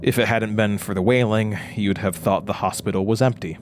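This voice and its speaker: Man acting low, haunted, earnest investigator. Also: steady tone, a little hiss or hum, natural voice. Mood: grim, haunted. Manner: halting, stammering in dialogue, low-key and earnest. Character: hesitant, haunted male investigator recounting a horror story. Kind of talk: intimate audio drama acting and narration.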